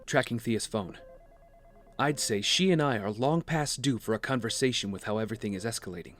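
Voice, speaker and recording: A man's voice: medium pitch, fairly quick, fairly bright tone, close to an everyday voice. An actor playing a serious, determined man protective of his sister, in a naturalistic audio drama style. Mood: weary, confiding. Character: guilt-ridden, determined brother tracking his sister, protective and earnest.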